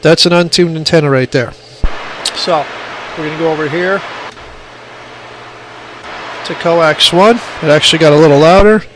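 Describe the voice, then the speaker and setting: Man, fairly high pitch, informal demonstration, emphatic, repetitive, plainspoken, amateur phone audio, light background noise. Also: normal speed, long pauses. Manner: plainspoken, emphatic, repeats points. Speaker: radio hobbyist demonstrating equipment. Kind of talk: informal hands-on tutorial. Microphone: phone recording. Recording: clearly noisy amateur phone recording.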